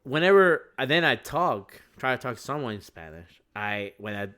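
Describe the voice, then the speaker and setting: A young man from Venezuela co-hosting a podcast, chatting casually and reflectively with frequent pauses and restarts. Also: medium pitch, expressive, pushed and bright, clear, resonant tone. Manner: hesitant, restarts often, reflective. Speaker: podcast co-host. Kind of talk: casual chat.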